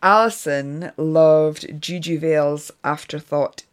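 A woman's voice: low-pitched, steady tone, takes it slowly. A woman hosting a podcast, reading a scripted round-up with occasional fillers. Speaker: podcast host. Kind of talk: scripted narration.

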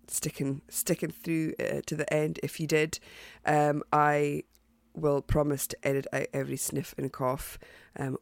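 A woman hosting a podcast, chatty and hesitant with lots of ums and restarts, sounding croaky and congested from a cold. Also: rumbling low, darkish tone, dry recording. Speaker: podcast host. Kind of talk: casual podcast sign-off.

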